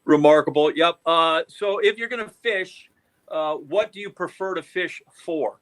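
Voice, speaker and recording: A man's voice: on the high side, unhurried, a bit bright. An American man hosting a casual sports interview, bantering and joking as he throws out questions. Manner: jokey, bantering. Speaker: podcast host, interviewer.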